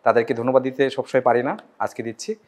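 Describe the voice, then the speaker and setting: A man's voice: mid-range voice, fairly even intonation, quick pace. A man with a Bangladeshi accent hosting a casual celebrity interview in an informal, familiar way. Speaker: talk show host. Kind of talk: casual celebrity interview with rapid-fire questions.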